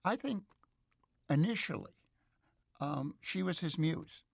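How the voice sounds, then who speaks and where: Gravelly, creaky man in thoughtful discussion. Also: fairly high pitch, expressive, takes it slowly, no room sound, clean studio sound. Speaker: researcher, expert interview guest. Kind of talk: reflective interview discussion.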